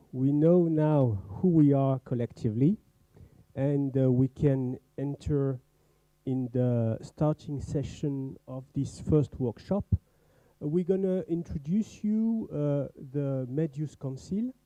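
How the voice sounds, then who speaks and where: Male moderator at a forum, slow and mellow, somewhat high voice, faint background noise. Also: no echo, lo-fi sound. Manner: deliberate, repeats words while explaining. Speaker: session moderator. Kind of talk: moderating a youth workshop.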